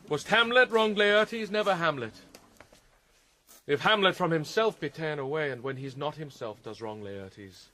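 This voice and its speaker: An actor playing a troubled, witty and melancholy young prince in a classical Shakespearean radio drama, speaking theatrically with a quick mind. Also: male voice, a little high, pushed and bright. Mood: earnest, declamatory. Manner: theatrical, quick-witted, shifting between dry mockery and reflection.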